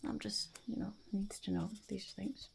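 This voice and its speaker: A woman voicing a quirky, scheming goblin interviewer in a whispered ASMR roleplay, halting and muttering, often repeating phrases. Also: mid-range voice, a little hiss or hum. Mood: prying, curious. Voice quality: breathy whisper with heavy creak. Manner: halting, muttering, repeats phrases, speaks of self in third person.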